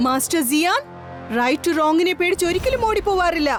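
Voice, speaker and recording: A woman's voice: extremely high pitch, lively intonation, fast. A woman voicing a brave, righteous young boy hero in a children's cartoon, earnest and resolute. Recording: background music under the voice.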